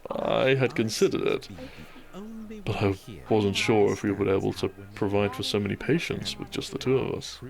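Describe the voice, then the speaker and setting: A man acting a gentle, devoted country doctor and bridegroom in a romantic audio drama, soft-spoken and formal in his wording. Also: on the low side, moderate pace, gravelly. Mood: modest, mildly doubtful.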